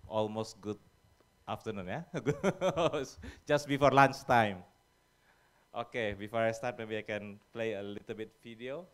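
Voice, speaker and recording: An Indonesian man presents at a conference in a slow, hesitant way, filling his pauses with uh. His voice is low and clear, and he speaks informal English. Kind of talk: presentation talk. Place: conference hall.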